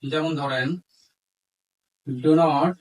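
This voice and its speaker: Male teacher, Bangladeshi accent, slightly high voice, methodical step-by-step explanation with examples dictated word by word. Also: steady tone, drawn-out words, a little mellow, poor audio. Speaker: English grammar teacher. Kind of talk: instructional lesson mixing Bengali explanation with English examples.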